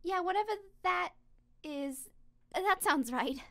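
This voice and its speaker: A woman voicing an earnest, excitable young male skeleton monster, childlike and protective, in a comic dub. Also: extremely high pitch, takes it slowly, gravelly, full character voice. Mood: subdued, uneasy.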